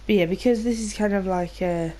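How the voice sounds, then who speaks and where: A woman's voice: mid-range voice, fairly quick, mellow. A British woman giving casual, rambling gameplay commentary, informal and sweary, sounding slightly nasal from a cold. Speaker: gaming video host.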